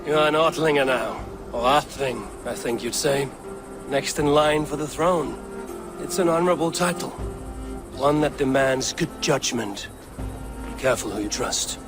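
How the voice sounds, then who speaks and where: An actor playing a seasoned Viking warrior who speaks in a measured, steady way. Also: male voice, medium pitch, natural voice. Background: music.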